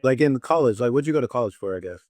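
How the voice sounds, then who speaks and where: A man hosting a casual online interview, asking eager, quick follow-up questions. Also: mid-range voice, animated, a little mellow, no room sound. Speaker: interview host. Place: in Hungary.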